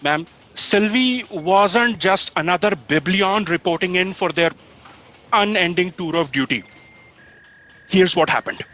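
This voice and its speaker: A man's voice: high-pitched, measured pace, pauses often, sharp tone, faint music behind. A man playing an earnest office colleague explaining events in a sci-fi satire audio drama.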